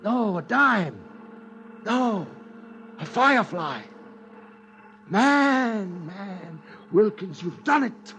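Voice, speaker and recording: A domineering, ambitious tycoon in 1950s radio drama style. His voice sits high for a man and he talks in bombastic, commanding declarations. The old broadcast recording carries faint noise. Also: animated, drawn-out words, long pauses, pushed and bright, heavily acted. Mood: exultant. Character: domineering, ambitious male tycoon, brash and grandiose.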